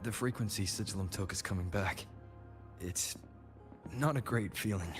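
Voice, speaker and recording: Young male game hero, acted by a man: low, measured, soft-spoken, music behind. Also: natural voice. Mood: weary. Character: calm, kind young male wandering hero. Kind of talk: video game voice acting, inner monologue.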